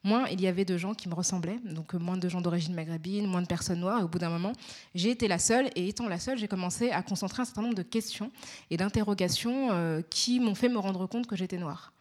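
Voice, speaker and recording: A young woman with a pronounced Parisian accent, answering interview questions in a measured, articulate way with frequent hesitations. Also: on the low side, a little mellow. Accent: Parisian French. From Paris, France. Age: young adult.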